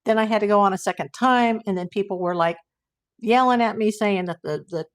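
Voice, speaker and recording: A woman hosting a casual livestream, hoarse and losing her voice, rambling in exasperation while she reads viewers' comments. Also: medium pitch, brisk. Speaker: YouTube livestream host.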